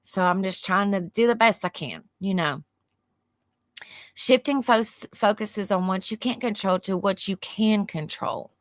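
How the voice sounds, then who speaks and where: A woman with a Southern US accent and a soft, soothing voice hosts a livestream. She chats in a rambling way, reads aloud and answers viewer comments. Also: mid-range voice, a little slow, no echo. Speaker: livestream host. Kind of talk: casual livestream chat, reading aloud.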